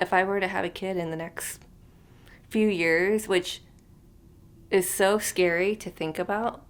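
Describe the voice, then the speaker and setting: A woman in her thirties chatting unscripted to camera as a vlogger, rambling and thinking aloud with lots of fillers, sometimes trailing off into a near whisper. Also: fairly low pitch. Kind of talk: unscripted casual chat to camera.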